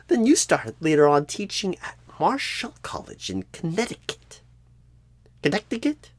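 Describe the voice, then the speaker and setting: A man playing a clueless, bumbling job recruiter in a comedic ASMR role-play, rambling with odd pauses and repeated words, flustered but eager. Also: fairly high pitch, slightly rough, no room sound, full character voice.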